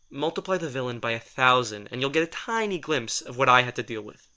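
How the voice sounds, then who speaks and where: A man's voice: mid-range voice, lively intonation, normal speed, pushed and bright. A man acting in an audio drama as a sardonic, exasperated assistant who reads minds, talking in a wry, defensive, rambling conversational way. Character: sardonic, exasperated male telepath, former personal assistant to a writer.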